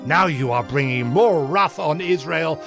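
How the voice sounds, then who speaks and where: An actor playing a stern, zealous governor of ancient Judah, narrating dramatized scripture in an emphatic, declamatory way. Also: male voice, on the high side, animated, unhurried, creaky, music in the background. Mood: angry, confronting.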